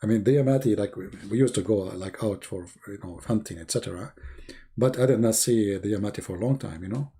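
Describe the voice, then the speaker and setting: Gravelly, dark-voiced man mocking on livestream. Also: on the low side, quick pace. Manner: mocking, rambling, joking asides. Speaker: livestream host. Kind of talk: casual livestream chat.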